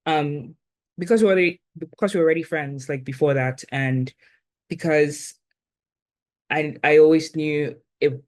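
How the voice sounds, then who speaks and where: A woman in her twenties from Ghana, with an accent that blends Ghanaian and British, reflecting thoughtfully as an interview guest and often pausing on "like" and "um". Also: deep voice, a little mellow. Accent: blend of Ghanaian and British, now neutralized. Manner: thoughtful, with many "like" and "um" fillers.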